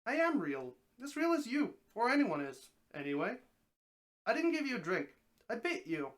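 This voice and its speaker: Someone reading as a wry talking snake, lively pitch. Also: normal speed, lightly acted. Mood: matter-of-fact. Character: talking old snake, helpful, wry, matter-of-fact, grinning. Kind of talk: storybook dramatic reading.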